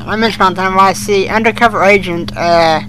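A man's voice: high-pitched, fairly quick, noisy background. A male co-host chatting casually on a fan podcast, in an amateur recording.

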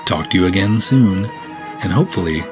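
A man's voice: low voice, animated, moderate pace, music in the background. A man hosting a podcast, talking casually to his listeners as in a sign-off. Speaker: podcast host.

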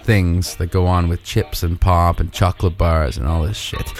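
Wry, lower-voiced ranting man. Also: steady tone, moderate pace, soft background music. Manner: wry, ranting, steers the segments. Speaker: podcast co-host. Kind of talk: casual chat with rants.

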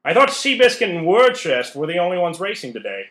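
A man's voice: high voice, very animated, fast talker, fairly bright tone. A man playing an earnest, indignant young stage performer in a radio play. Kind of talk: old-time radio play acting. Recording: roomy, echoing recording.

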